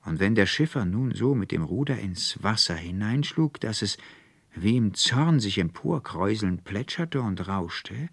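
A man's voice: lowish voice, lively intonation, a little slow, vocal fry, dry recording. A man reading a literary tale as an audiobook narrator, expressively and from a script.

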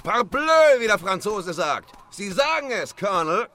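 An actor playing an urbane, eager gentleman explorer, courtly and mannered, in a comic radio play. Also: sits high, big pitch swings, measured pace, a little hiss or hum, exaggerated voice.

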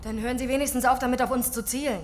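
An actress playing a level-headed, outspoken doctor and survivor in a radio horror drama, direct and dry. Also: female voice, high-pitched, fairly even intonation, very fast, faint music behind, lightly acted. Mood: firm, businesslike.

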